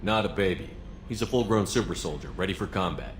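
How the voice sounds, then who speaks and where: A man playing a steady, decisive military starship commander in a video game, firm, direct and controlled. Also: low voice, fast talker, a little hiss or hum, natural voice. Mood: matter-of-fact. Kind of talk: video game character acting.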